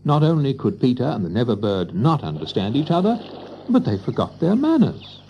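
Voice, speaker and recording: Very animated male storyteller. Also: mid-range voice, a little fast, lo-fi sound, a little hiss or hum. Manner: expressive, storytelling. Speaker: narrator. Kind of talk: scripted children's story narration.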